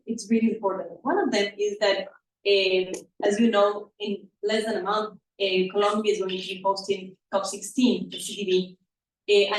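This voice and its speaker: A woman from Ecuador with an Ecuadorian Spanish accent gives an earnest presentation to an audience as a panel speaker, often repeating words as she goes. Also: medium pitch. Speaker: activist, panel speaker at a conference. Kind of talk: public presentation to a live audience. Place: indoor event room. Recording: echoey room, distant mic, background noise.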